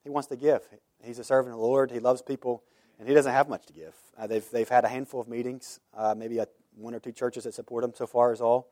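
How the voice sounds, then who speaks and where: A man's voice: medium pitch, level tone, fast talker, few pauses, warm tone, no echo. A man pastor giving informal church announcements and prayer requests, earnest and full of "uh" fillers. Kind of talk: church announcements before sermon. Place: church sanctuary.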